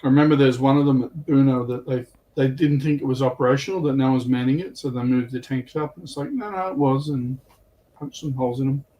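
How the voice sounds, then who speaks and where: Mid-pitched man chatting as panelist. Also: fast talker, warm tone, dull audio, slight background noise. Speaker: panelist explaining game rules. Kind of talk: casual hobby panel chat.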